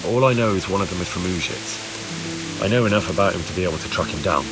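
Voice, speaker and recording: A man's voice: low voice, fast, music in the background, close to an everyday voice, low-quality recording. An actor playing an earnest, plain-spoken young peasant man-at-arms in a video game, explaining himself respectfully. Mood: earnest, reporting. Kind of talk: video game cutscene acting.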